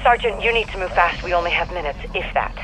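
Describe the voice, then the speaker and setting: An actor playing a controlled but urgent mission controller, giving soldiers precise instructions over the radio in a video game. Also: male voice, sits high, lively intonation, fast, bright, forceful tone, slight background noise, poor audio. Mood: pressing. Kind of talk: military video game acting.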